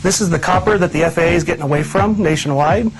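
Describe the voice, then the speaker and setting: A man, a technician, explaining his work in quick, matter-of-fact soundbites for a video. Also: on the high side, rough recording. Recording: interview clip under music.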